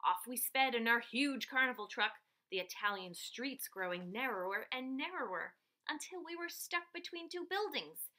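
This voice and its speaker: Bright-voiced woman reading a children's story aloud. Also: fairly high pitch, normal speed. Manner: animated, dramatic emphasis on story phrases. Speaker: teacher reading aloud to pupils. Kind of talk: expressive children's picture-book read-aloud.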